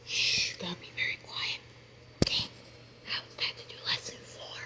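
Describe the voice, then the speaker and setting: A woman casually chatting to viewers in an app tutorial, talkative and often dropping into a whisper. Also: moderate pace.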